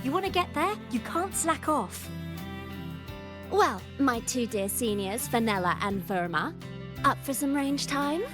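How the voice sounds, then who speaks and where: High cocky student girl, playful n breezy, anime game cutscene, music under it. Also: animated, unhurried. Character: cocky, spirited young academy student girl. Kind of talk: anime-style video game dialogue.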